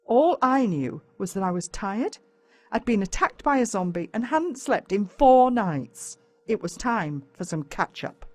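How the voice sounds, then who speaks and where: A woman with an Australian accent narrates a children's story in the first person, in a dramatic, expressive way. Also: mid-range voice, a little slow, dry recording. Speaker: audiobook narrator.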